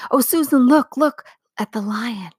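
A woman voicing an excitable young girl in a storybook, eager and exclaiming with wonder and worry. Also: a little high, unhurried, husky, no room sound, studio quality, voice pushed up. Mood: excited wonder.

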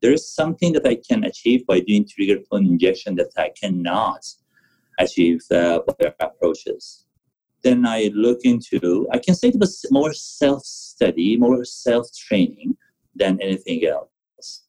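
A man in his forties from Iran, a physician answering interview questions in halting, with frequent restarts. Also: fairly low pitch, sing-song, mellow, low-quality recording. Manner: halting, restarts often, non-native phrasing. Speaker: physician guest.

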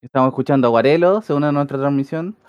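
A man's voice: medium pitch, fast talker, a little mellow, clean tone, no room sound, muffled recording. A man with a Chilean accent bantering about football in slangy, joking talk as a commentator. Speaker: football commentator on a group broadcast.

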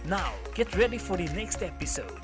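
A woman reading the scripted intro of a podcast in a bright promotional manner. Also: on the low side, very animated, moderate pace. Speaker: show intro announcer. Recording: intro read over loud music.

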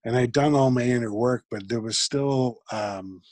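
A man, a guest in an interview, talking reflectively and haltingly, with frequent restarts. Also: mid-range voice, darkish tone, no echo. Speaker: podcast guest. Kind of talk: conversational interview.

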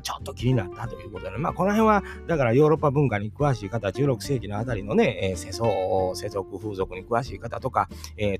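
A middle-aged man with a Kansai accent talks through a fairy tale, musing aloud and tossing questions to his listeners. His voice is gravelly and his pitch rises and falls a lot. Music plays clearly behind him. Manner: musing, chatty, rhetorical asides to listeners. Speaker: storytelling channel host. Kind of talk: casual spoken commentary on a fairy tale.

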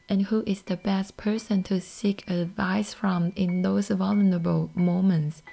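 A woman from Taiwan whose first language is Mandarin, speaking English with a Taiwanese accent as a podcast host, in a soft, airy, half-whispered scripted monologue. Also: mid-range voice, vocal fry, a little hiss or hum, poor audio.

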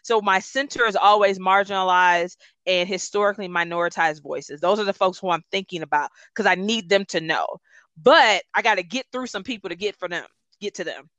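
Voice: mid-range voice, brisk, bright, forceful tone, no room sound. An interview guest whose first language is Gullah, speaking in a passionate, emphatic and reflective way. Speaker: guest, consultant. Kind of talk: conversational interview.

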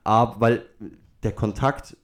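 A man's voice: medium pitch, fairly even intonation, unhurried. Colloquial male podcast host, opinionated. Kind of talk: casual chat.

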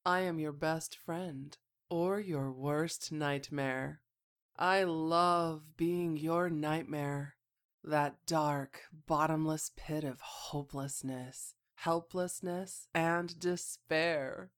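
Woman playing a slow, menacing female supervillain. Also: sits low, steady tone. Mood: gloating menace. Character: menacing female villain, gloating bringer of nightmares and despair. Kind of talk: dramatic audio play monologue.

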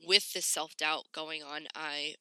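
A woman hosting a podcast in casual, candid, slangy talk, slightly raspy and congested from a cold. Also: low voice, fairly even intonation, slow, bright, forceful tone, no room sound. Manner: candid, informal, sweary, slangy. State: congested, getting over a cold. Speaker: podcast host.

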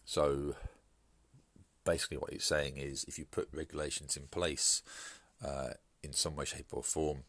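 A man hosting his own podcast, talking through an opinion piece in a measured, hesitant way with frequent fillers and restarts, sounding congested and bunged up from hay fever. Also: very deep voice, little pitch movement, a bit bright, no room sound. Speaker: podcast host. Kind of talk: solo podcast commentary.

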